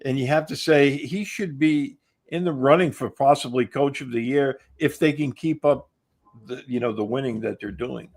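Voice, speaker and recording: A male guest writer talks football on a podcast. He speaks haltingly and fills his pauses with "uh." His pitch sits in an ordinary middle range. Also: darkish tone. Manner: halting, many fillers. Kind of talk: casual sports talk.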